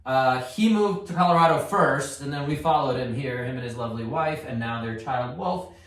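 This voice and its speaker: A man's voice: mid-range voice, moderate pace, a bit bright. A male clinician talking casually to camera from his garage, explaining an assessment in a chatty, rambling way. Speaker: physical therapist. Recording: roomy echo.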